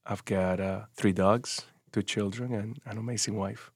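A man, telling his own life story in a thoughtful, unhurried way full of pauses and fillers. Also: lowish voice, steady tone, slightly rough, dry recording, studio quality. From Cuba. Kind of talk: personal first-person storytelling.

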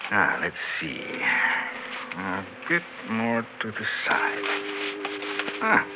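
An actor playing a suave, sardonic, tipsy husband and cold-blooded killer in a theatrical 1960s radio drama. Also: male voice, fairly low pitch, huge pitch swings, heavy background noise, heavily acted. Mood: gloating, sardonic. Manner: theatrical, mock-tender, deliberate. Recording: muffled vintage radio recording with music.

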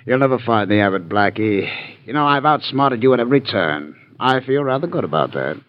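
An actor playing a smug, condescending crime boss with urbane, mocking phrasing in a 1940s radio drama. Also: male voice, mid-range voice, animated, brisk, slight background noise, lightly acted. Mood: gloating. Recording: dull 1940s radio recording.

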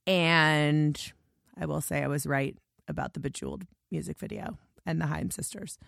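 Woman podcast host, voice scratchy and hoarse from losing it, chatty and effusive, dry close sound. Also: deep voice, normal speed, fairly bright tone, no room sound. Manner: gushing, rambling with restarts. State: hoarse, lost voice. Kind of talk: casual podcast monologue.

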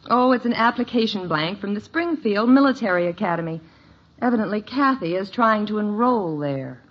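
A woman's voice: mid-range voice, lively intonation, moderate pace, close to an everyday voice. An actress playing a sensible, composed, middle-aged American wife and mother in a 1950s radio sitcom, wryly amused. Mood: wry amusement. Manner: wry, composed. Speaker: actor in a family situation comedy. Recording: muffled, noisy old radio recording.

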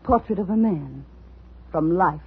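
An actress playing an unstable, haughty woman writer bent on revenge in a 1950s radio thriller, intense and halting, quick to flare. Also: fairly low pitch, animated, background noise. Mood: brooding, dreamy. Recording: tinny old radio broadcast, music behind.

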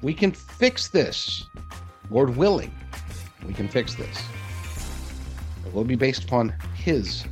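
A man's voice: mid-range voice, big pitch swings, slow pace, long pauses, soft background music. A man hosting a podcast, talking to his audience in a conversational, emphatic monologue. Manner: conversational, emphatic, voicing imagined replies. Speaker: podcast host.

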